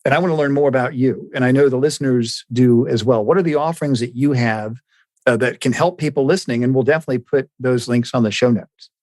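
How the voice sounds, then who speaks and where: A man speaks as a podcast host in a conversational interview style, affirming and encouraging. His voice sits at an average pitch and is recorded dry, with very little room sound. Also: fast talker, a little mellow, dry recording.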